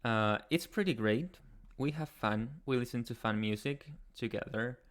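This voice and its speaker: A young man from Chile with a Chilean Spanish accent, hosting a casual livestream and answering chat in a soft, hesitant way with many pauses. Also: medium pitch. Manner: soft-spoken, hesitant, many ums and restarts. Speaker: ASMR channel host. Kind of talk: casual livestream chat, reading viewer comments.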